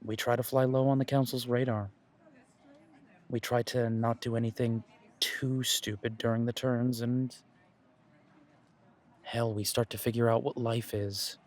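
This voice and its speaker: A man playing a protective, dutiful werewolf pack leader in an audio drama, speaking in an earnest, measured way with dry humour. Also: medium pitch, little pitch movement, lightly acted. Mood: reassuring. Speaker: voice actor in audio roleplay. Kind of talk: scripted audio roleplay drama.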